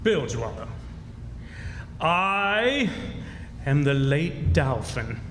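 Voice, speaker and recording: A man's voice: fairly high pitch, big pitch swings, extremely slow, a bit bright, slight background noise, heavily acted. A man acting a grey-haired old swindler who poses as an exiled French king, folksy and mock-solemn, in old-time radio drama style. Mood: confiding, aggrieved. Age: older. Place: stage in a reverberant historic club hall. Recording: echoey hall stage performance with music.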